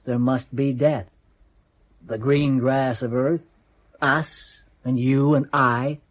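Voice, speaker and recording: A man's voice: medium pitch, darkish tone, low-quality recording. A man acting in a radio drama as a grave, philosophical astronaut, speaking in a solemn, declamatory way. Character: grave, fatalistic male astronaut, philosophical and resolute. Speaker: radio actor.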